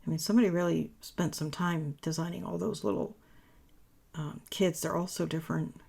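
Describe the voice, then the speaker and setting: A woman narrates a craft tutorial in a loose, chatty way, often correcting herself. Her voice is raspy and worn after a long day of talking. It has a heavy gravelly fry and a husky edge. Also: lowish voice, steady tone, moderate pace, a little mellow. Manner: rambling, self-correcting, chatty. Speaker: papercraft tutorial host. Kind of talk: casual voice-over tutorial.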